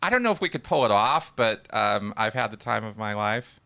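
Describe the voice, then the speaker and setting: A man's voice: lowish voice, a little fast, gravelly, clear, resonant tone. A man hosting a live call-in podcast, chatting casually and rambling with restarts. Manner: relaxed, rambling, many restarts. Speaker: podcast host.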